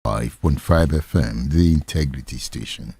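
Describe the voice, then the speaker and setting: A male radio host with a low, deep voice. He introduces a guest and answers callers in a formal, measured tone. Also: gravelly, dark tone, husky. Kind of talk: radio presenting.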